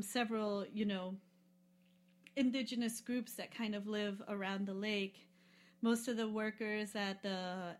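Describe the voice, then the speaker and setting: Easygoing woman rambling on a podcast, lots of ums and likes, mid pitch. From lives in Peru. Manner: chatty, many fillers, laughs often. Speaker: podcast guest. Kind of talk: casual travel chat.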